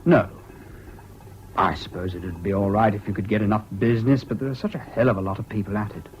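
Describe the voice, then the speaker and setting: An actor in a British radio drama playing a troubled, excitable, self-doubting young man with an English accent and an earnest manner. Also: on the low side, fast talker, close to an everyday voice. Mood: dejected, self-doubting. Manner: hesitant, earnest, swinging between gloom and giddy excitement. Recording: dull, hissy old radio recording.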